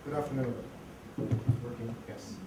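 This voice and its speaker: A man giving a chatty conference talk with anecdotes and rhetorical questions, his voice going hoarse. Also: medium pitch, steady tone, unhurried. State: losing his voice. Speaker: conference speaker on information security. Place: lecture hall. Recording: echoey hall, distant mic, noticeable noise.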